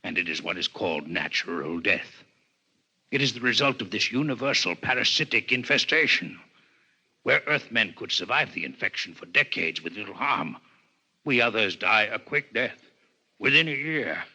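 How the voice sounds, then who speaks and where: An actor playing a grave, coldly intellectual alien physician in a 1950s radio drama, speaking in formal, measured lectures with a husky voice. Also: male voice, on the low side, lively intonation, sharp tone, exaggerated voice, rough recording. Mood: grave, lecturing. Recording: 1950s radio broadcast recording.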